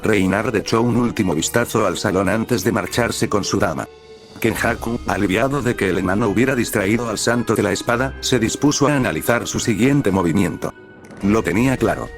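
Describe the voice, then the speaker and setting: A young man reading fanfiction aloud in a steady, even narrating style. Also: fairly low pitch. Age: young adult. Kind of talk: audiobook-style story narration.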